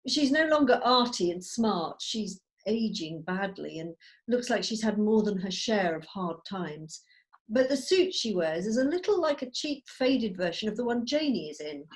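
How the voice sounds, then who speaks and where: A woman's voice: medium pitch, sing-song, moderate pace. A woman reading stage directions as the narrator of a script, in a scripted narration style. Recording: video call.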